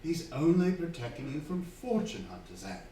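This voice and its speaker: A man plays an earnest, courteous younger clergyman in an old-fashioned radio drama. His delivery is highly animated and deferential. The sound is distant, with heavy echo and faint noise. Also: normal speed. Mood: calm, reasonable. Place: theatre hall, distant microphone. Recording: very echoey stage recording, faint noise.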